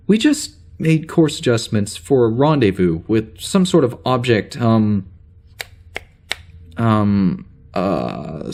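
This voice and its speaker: A flustered spaceship security officer, acted by a man, dictates his log in a middling male pitch. He rambles and hesitates, unsure of himself, in the natural style of a sci-fi audio drama. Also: a little mellow, exaggerated voice. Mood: puzzled, uneasy. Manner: halting, rambling, full of hesitations and sighs. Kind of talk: audio drama, informal spoken log entry.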